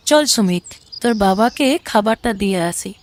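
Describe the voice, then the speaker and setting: A woman's voice: mid-range voice, animated, moderate pace, slight background noise, natural voice. A woman voicing a caring, dutiful young village wife and mother in a cartoon, with a homely, reassuring manner. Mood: searching, resolved. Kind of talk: Bengali cartoon voice acting.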